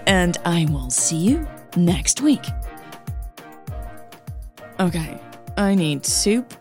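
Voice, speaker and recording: A woman narrating audio fiction as the host, in a measured, deliberate storytelling manner. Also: fairly low pitch, very animated, a little mellow, faint music behind.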